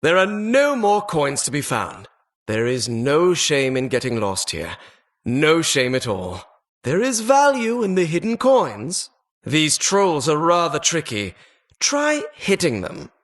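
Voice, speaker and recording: A man's voice: fairly high pitch, wildly swooping pitch, takes it slowly, fairly bright tone, full character voice. A man voicing a talking dungeon as a pompous, mock-polite, sardonic butler-like host in a video game. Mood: smug. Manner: pompous, mock-polite, arch formal phrasing. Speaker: game announcer. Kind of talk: theatrical video game voice-over.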